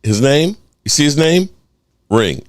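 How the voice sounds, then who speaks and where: A middle-aged man hosting a sports call-in show, animated and joking, swearing freely. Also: fairly high pitch, a little slow, no echo, clean studio sound. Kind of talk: casual call-in show talk.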